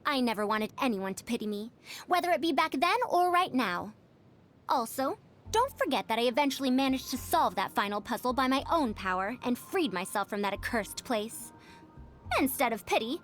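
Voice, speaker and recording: A woman voicing a proud, fussy century-old scholar with a youthful girlish voice, pompous and lecturing, for a video game. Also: high-pitched. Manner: pompous, lecturing, old-fashioned elder phrasing. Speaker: game character voice. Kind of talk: animated video game voice lines.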